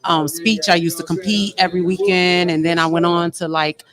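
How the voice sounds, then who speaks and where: A woman's voice: on the low side, level tone, moderate pace, crowd noise. A woman actress being interviewed as a guest, talking in a casual, laid-back, joking way. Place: busy venue backstage after a stage play. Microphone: microphone with headphones.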